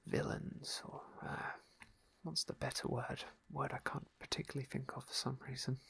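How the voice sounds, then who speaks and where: Man whispering gameplay commentary, very gravelly, breathy, bright hiss. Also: medium pitch. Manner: soft, wry, playful asides. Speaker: ASMR game player.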